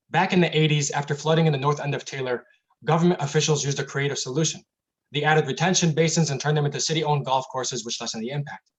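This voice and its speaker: A man running for mayor, reading out a prepared campaign speech that tells stories and examples, in slightly dull audio. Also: a little high. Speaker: mayoral candidate giving closing statement.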